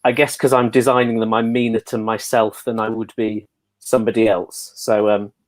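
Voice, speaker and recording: A man's voice: fairly low pitch, little pitch movement, normal speed. A man chatting casually on a panel as a performer and designer, hesitant, wry and self-deprecating. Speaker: pantomime dame performer and costume designer.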